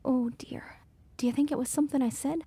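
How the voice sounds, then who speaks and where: A woman's voice: sits high, fairly even intonation, brisk, noisy background. An actress playing a demure, agreeable nineteen-year-old society lady in a period audio drama, with a proper, polite way of speaking. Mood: anxious, obliging. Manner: proper, polite, soft-mannered.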